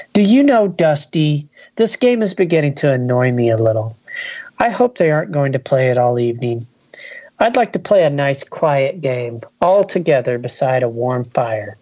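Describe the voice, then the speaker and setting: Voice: medium pitch, dark tone, no room sound, lightly acted. A reader voicing a kindly woman in a ghost story. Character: kindly woman guest at a party game. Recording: dull lo-fi recording.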